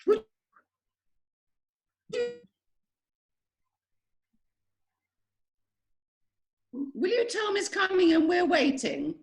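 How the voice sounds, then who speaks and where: A woman playing a passionate aristocratic widow in an amateur play reading, with theatrical, drawn-out delivery. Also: very high voice, huge pitch swings, full character voice. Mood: imperious. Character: melodramatic aristocratic lady of the manor, passionate widow.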